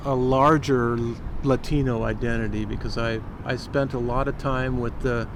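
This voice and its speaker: An older man with an American accent talks as an interview guest. He speaks thoughtfully, often doubling words while he finds his way. Traffic noise is clearly heard in the background. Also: mid-range voice, fairly even intonation, normal speed. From Cuba. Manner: thoughtful, repeats words while searching for them. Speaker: interview guest, retired company founder. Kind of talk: casual interview chat.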